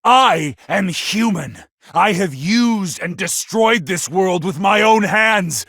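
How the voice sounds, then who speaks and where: Raspy, unhinged villain. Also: male voice, animated, slow, fairly bright tone, dry recording, clean studio sound, full character voice. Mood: defiant fury. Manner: sneering, unhinged, dramatic swings from low menace to screams. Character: crazed, contemptuous, murderous male villain with a god complex. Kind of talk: theatrical anime game voice acting.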